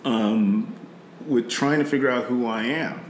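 A man's voice: mid-range voice, measured pace, echoey room, a little hiss or hum. A middle-aged man from Nigeria with a slight British-tinged Nigerian accent, speaking reflectively about faith, often repeating words and using fillers. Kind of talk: faith conversation.

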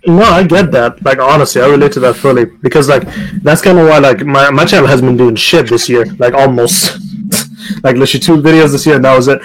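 A man's voice: medium pitch, brisk, poor audio. A man talking casually in a group chat about his channel, using lots of fillers and mild swearing. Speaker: YouTuber.

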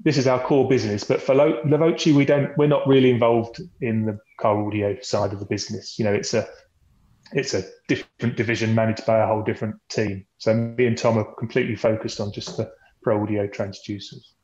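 A man's voice: on the low side, fairly even intonation, brisk, a little mellow. A man speaking as a company representative and podcast guest, explaining the business in a conversational presentation style, often repeating words as he goes. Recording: boxy echoey room, dull lo-fi sound.

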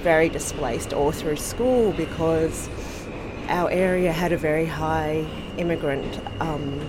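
An Australian woman from Sydney, speaking in a casual, thoughtful interview and pausing often with ums and restarts. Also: sits low, background noise. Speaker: podcast host being interviewed.

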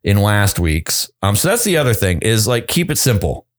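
A man with a lowish voice and a noticeable vocal fry chats casually as a podcast co-host. He rambles, restarting his sentences and filling pauses with "um" and "like." He is recorded close on a studio microphone. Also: sing-song. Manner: rambling, many restarts and fillers. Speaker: podcast co-host and audio editor. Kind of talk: casual chat. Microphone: close studio mic.

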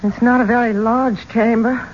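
Actress as brooding adventuress in old radio drama. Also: female voice, a little high, fairly even intonation, moderate pace, lightly acted. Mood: curious. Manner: theatrical, portentous. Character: adventurous woman singer, brooding and curious, trapped in tomb. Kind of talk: 1970s radio drama acting. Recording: dull, noisy old radio broadcast.

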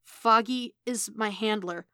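A woman voicing a distressed, earnest young man in a story, halting, choked and pleading. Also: a little high, steady tone, no echo, clean studio sound, pitched above the performer's own voice. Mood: choked, defiant.

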